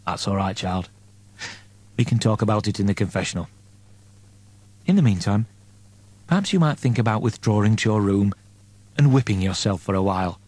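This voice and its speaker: A man's voice: fairly low pitch, lively intonation, normal speed, pauses often, a little mellow, no room sound. A man voicing a complacent, sinister, paternal priest in a space comedy audiobook, soothing and condescending.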